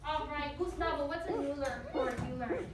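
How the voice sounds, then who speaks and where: A woman's voice: high voice, normal speed, over a noisy crowd. A woman teaching young pupils, encouraging as she leads the class and reads aloud to them. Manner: encouraging, addresses pupils as friends. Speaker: elementary school teacher. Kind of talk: leading a class and reading a story aloud. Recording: very echoey, distant mic, faint noise.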